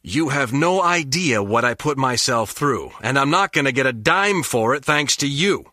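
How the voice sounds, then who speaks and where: A bright, forceful male voice with lively swings in pitch plays a cynical American spy gone crooked. He is greedy, sardonic and unrepentant, talking in slangy game dialogue on a close, dry recording. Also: moderate pace, dry recording. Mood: bitter, sarcastic. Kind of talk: video game voice acting.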